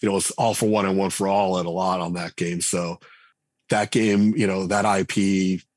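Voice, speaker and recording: Low husky guy chatting on a podcast, lots of uhs and restarts, dry close sound. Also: level tone, normal speed, a little mellow, no echo. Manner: thoughtful, rambling, many fillers and restarts. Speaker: game writer and designer guest. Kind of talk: conversational podcast interview.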